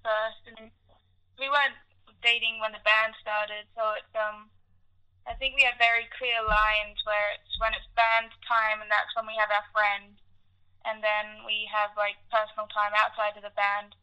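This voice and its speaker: A woman's voice: medium pitch, little pitch movement, moderate pace, bright, forceful tone, clear, resonant tone. A woman musician chatting casually in an interview over a dull telephone line. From grew up in Africa and Indonesia. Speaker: band musician being interviewed. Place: phone call.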